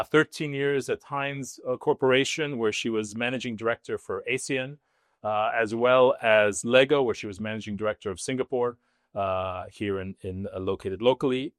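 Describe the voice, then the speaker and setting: Halting man hosting a session, dry sound. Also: mid-range voice, clear, resonant tone, no echo. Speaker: host, business school dean. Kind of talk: semi-prepared event hosting. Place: live session with an audience.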